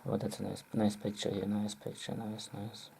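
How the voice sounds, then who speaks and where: A person muttering a casual, halting running commentary, often clearing the throat. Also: low-pitched, completely flat delivery, a little mellow, slight background noise. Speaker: video maker demonstrating close-up specimens.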